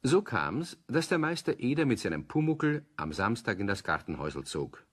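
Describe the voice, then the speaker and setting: A man with a husky voice narrating a children's audio play as a scripted storyteller. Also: mid-range voice, big pitch swings, normal speed.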